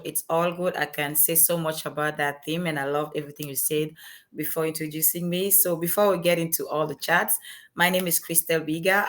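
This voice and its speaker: A woman's voice: fairly low pitch, a bit bright. A young woman from Cameroon with a French-Cameroonian accent, speaking as an earnest, motivational guest on an interview show. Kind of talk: interview chat.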